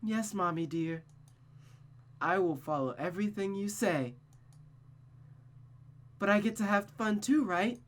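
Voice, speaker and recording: A woman's voice: medium pitch, measured pace, pauses often, voice pushed up, natural voice. A woman voicing a childlike, deranged young woman from a visual novel, devoted and murderous, with a pleading, menacing sing-song delivery. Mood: obedient, playful. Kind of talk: visual novel character voice acting.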